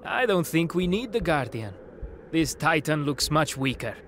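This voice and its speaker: An actor playing an earnest, determined young hero in a video game cutscene. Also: male voice, on the high side, very animated, normal speed, fairly bright tone, slight background noise. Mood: earnest, wary.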